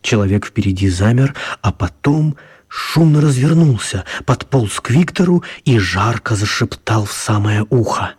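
Husky, mellow male story narrator. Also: fairly low pitch, expressive, a little slow. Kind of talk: expressive scripted story narration.

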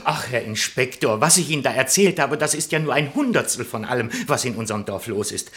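An actor playing an eager, naively proud factory checker in a 1960s German radio comedy, polite and effusive, stammering when flustered. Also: male voice, mid-range voice, a little fast, a bit bright, lightly acted. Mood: enthusiastic. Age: 40s. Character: eager, pleading, proudly naive village-born factory inspector.

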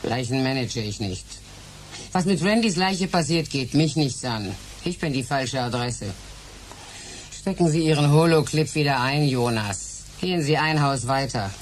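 A woman's voice: a bass voice, lively intonation, measured pace, slight background noise. A woman playing a hard-nosed, mercenary music manager in a sci-fi radio drama, curt and sardonic. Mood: cool, dismissive.

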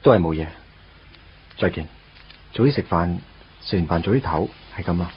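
An actor playing a shy, earnest teenage schoolboy in love, narrating and acting in a Hong Kong Cantonese radio drama. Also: male voice, low voice, animated, moderate pace, slight background noise. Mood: shy, hesitant. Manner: hesitant, tongue-tied, earnest. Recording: dull lo-fi radio drama audio. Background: guitar music.

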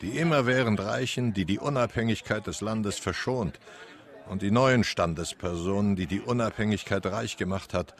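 An actor playing a worldly, sardonic older man with a gravelly voice and a wry, deliberate delivery in a radio drama. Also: lowish voice, lightly acted. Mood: wry, knowing.

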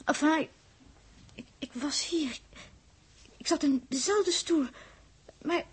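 A very high-voiced young woman in a 1960s space radio drama. She is anxious and emotional, speaking haltingly and repeating her words as if easily upset. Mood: haunted, hushed. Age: young adult. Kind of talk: 1960s radio play acting.

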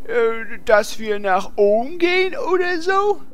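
A woman's voice: on the high side, very animated, slow pace. A woman playing a timid, hesitant adventurer in a fantasy parody audio drama. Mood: puzzled, hesitant.

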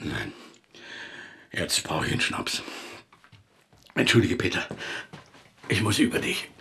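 A man's voice: low voice, creaky, husky, exaggerated voice. An actor in a radio play playing a melancholy, self-pitying old literary man who declaims, rambles and corrects himself. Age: older. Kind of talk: German radio play acting.

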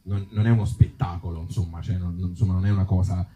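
A man with a Tuscan accent talking informally at a live event as an actor and author, in halting, circling phrases with blunt joking asides. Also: low-pitched, fairly even intonation, dark tone, slight background noise. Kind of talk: informal live talk with the audience. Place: outdoor evening event in an olive grove.

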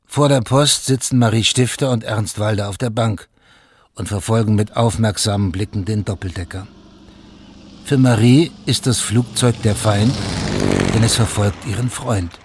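An actor serving as the narrator of a radio crime drama, telling events in a measured, factual way. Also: male voice, fairly low pitch, steady tone, close to an everyday voice. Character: sober omniscient storyteller of a crime chronicle.

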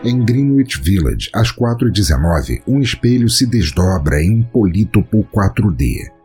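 A man with a Brazilian Portuguese accent narrates a scripted horror story in a measured, solemn, dramatic way. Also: lowish voice, big pitch swings, mellow, soft background music. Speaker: podcast narrator.

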